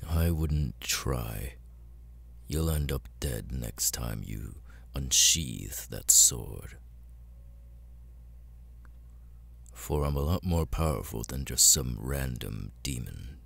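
A man voicing a cold, arrogant immortal demon lord in an ASMR roleplay, speaking with deliberate, condescending menace. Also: expressive, a bit bright, heavily acted. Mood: imperious. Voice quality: very deep, gravelly, husky. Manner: slow, deliberate, condescending, with long pauses.

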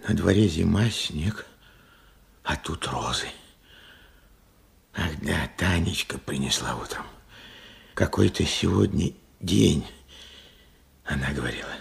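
Husky, muttering old grandfather. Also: low voice, exaggerated voice. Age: older. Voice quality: husky, gravelly. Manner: halting, muttering, hesitant. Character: forgetful, bewildered old grandfather muttering to himself. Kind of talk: Soviet radio drama acting.

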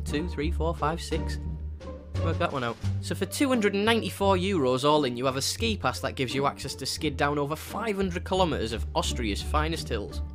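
A young man from northeast England with a northeast English accent, narrating a travel vlog voice-over with cheeky, jokey asides. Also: fairly high pitch. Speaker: travel vlogger. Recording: voice-over with clear music bed.

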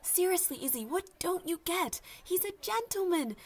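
A woman's voice: extremely high pitch, animated, moderate pace. A young woman voice acting a lovestruck, chatty student gushing dramatically to friends in an audio roleplay. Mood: excited, giddy. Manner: gushing, dramatic, babbly, sighing. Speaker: voice actor. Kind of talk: audio roleplay, one-sided conversation with friends.

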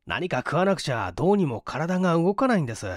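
A man's voice: fairly high pitch, big pitch swings, quick pace, no room sound, clean studio sound. A man voicing a hungry, penniless young man, weary but courteous, coaxing and grumbling politely in a dramatised story reading.

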